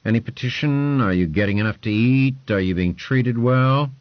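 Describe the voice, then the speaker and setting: A man's voice: mid-range voice, normal speed, no echo, lo-fi sound, pitched above the performer's own voice, close to an everyday voice. A man doing a brusque, imperious lord. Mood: commanding. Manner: curt, commanding, clipped orders.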